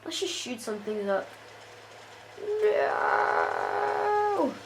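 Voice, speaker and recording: A woman's voice: sits high, huge pitch swings, extremely slow, creaky, slight background noise, rough recording. A woman giving casual, jokey commentary while playing a shooter game, often exasperated and talking to the characters on screen. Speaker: gamer.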